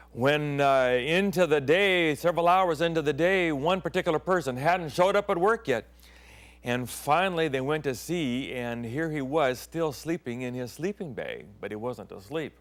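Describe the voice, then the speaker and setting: A middle-aged man who grew up in Thailand, a pastor hosting his own program, telling stories in a sermon and often dropping to hushed tones and whispers. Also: mid-range voice. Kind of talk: storytelling sermon.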